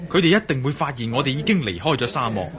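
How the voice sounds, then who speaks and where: An actor playing a level-headed, practical adventurer hero in a Cantonese radio drama, firm and decisive. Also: male voice, mid-range voice, big pitch swings, fast talker, natural voice. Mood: serious, practical. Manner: sober, chiding, decisive. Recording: crowd chatter behind voice.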